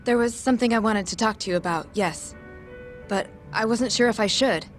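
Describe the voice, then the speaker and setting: A woman's voice: a little high, brisk, soft background music, lightly acted. A woman playing an earnest, guarded young nurse in an English-dubbed game drama, speaking precisely and formally.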